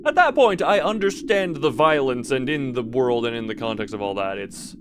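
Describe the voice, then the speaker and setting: A man's voice: mid-range voice, animated, moderate pace, background noise. A man streaming casually, moving between reading stories aloud and talking to his live chat. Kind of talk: casual livestream commentary with read-aloud story passages.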